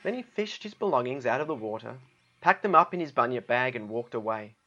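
A man's voice: mid-range voice, lively intonation, a little fast, sharp tone, highs cut off. A man with an Australian accent reading a storybook aloud and chatting casually about it. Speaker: guest storybook reader. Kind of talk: storybook narration with casual asides.

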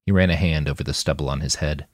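A man's voice: very deep voice, fairly even intonation, quick pace, no room sound, studio quality. A man reading scripted fiction as an audiobook narrator.

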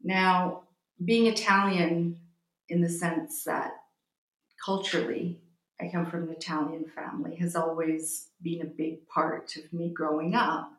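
A Canadian woman in her forties, a magazine editor speaking as an interview guest, reflective and pausing as she restarts her phrases. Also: fairly low pitch, flat delivery. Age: 40s. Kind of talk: interview conversation. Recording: roomy echo.

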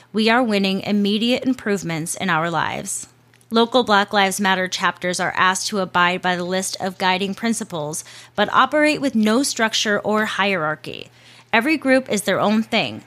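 A woman's voice: medium pitch, fairly bright tone. Chatty woman narrating with casual asides. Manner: chatty asides, swearing, self-correcting.

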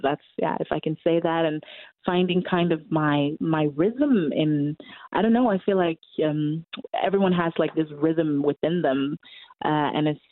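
A woman in her twenties with a Norwegian accent speaks English as a second language, pausing and circling back while she hunts for words. Her voice is fairly low and comes through a thin, phone-like line with no room sound. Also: no echo. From Norway. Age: 20s. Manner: hesitant, searching for English words. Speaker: musician guest. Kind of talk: casual interview over a call.

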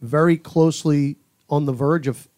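A man who works as a play-by-play announcer, giving conversational sports analysis in a studio with measured, paused phrasing. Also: fairly high pitch, fairly even intonation, warm tone, no room sound. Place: podcast studio.

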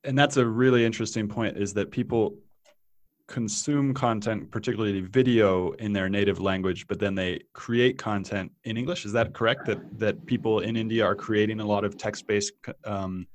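Man, interview host, inquisitive, thinks aloud, low-pitched voice. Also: fairly even intonation, normal speed. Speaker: podcast host. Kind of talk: interview conversation.

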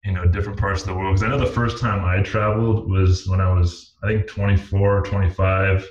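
A man's voice: deep voice, little pitch movement, fairly quick, slight background noise. An American man hosting a conversational interview, speaking in a reflective, wordy way. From United States. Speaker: interviewer, host. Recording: echoey room, dull lo-fi audio.

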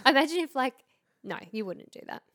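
A woman co-hosting a podcast in casual banter, talking in an expressive, excitable way with plenty of swearing. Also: high voice, fairly quick, no room sound, clean studio sound. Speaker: podcast co-host.

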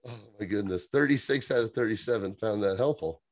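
A man's voice: lowish voice, fairly quick, darkish tone, no echo. A man co-hosting a podcast, chatting casually and laughing often as he reads aloud. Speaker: podcast co-host. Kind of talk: casual chat.

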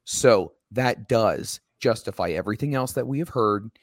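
A man co-hosting a casual wrestling podcast, a little hoarse, talking emphatically and ranting at times. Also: fairly low pitch, normal speed, dry recording, studio quality. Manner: emphatic, ranting, animated when quoting others. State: slightly hoarse.

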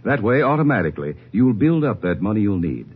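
A man's voice: lowish voice, very animated, a little fast, husky. A man reading a persuasive, earnest 1950s radio savings ad. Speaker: announcer. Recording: dull old radio broadcast.

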